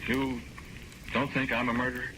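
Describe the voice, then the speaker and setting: A man's voice: medium pitch, flat delivery, moderate pace, close to an everyday voice. An actor in a 1940s radio drama playing an anxious condemned man who protests his innocence in a folksy, hesitant way. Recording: noisy old 1940s radio recording.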